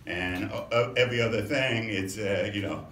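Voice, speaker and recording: Man from Romania, middle-aged, very low voice, halting with fillers, echoey room, distant mic. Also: a bit bright, a little hiss or hum. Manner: measured, halting, many fillers. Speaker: congressional candidate. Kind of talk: campaign introduction speech.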